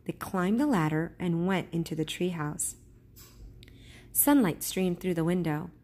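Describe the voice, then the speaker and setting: A woman reading a children's storybook aloud as a teacher would, in a measured, careful storytelling manner. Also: on the low side, darkish tone.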